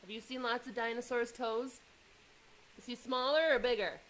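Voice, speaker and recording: A woman reading a children's picture book aloud in an expressive way, pausing to engage young listeners with questions and asides. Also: fairly high pitch, low-quality recording.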